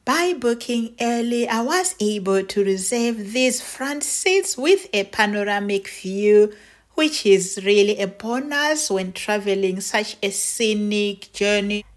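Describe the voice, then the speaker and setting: A middle-aged woman narrating a travel vlog in a measured, matter-of-fact way. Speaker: travel vlogger. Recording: noisy, with vehicle and station rumble.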